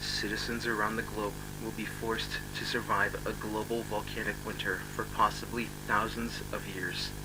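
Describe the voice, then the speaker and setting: A man playing a grave, formal emergency broadcast announcer, reading solemnly and deliberately from an analog horror script. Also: on the low side, level tone, background noise, lightly acted. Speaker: emergency alert narrator.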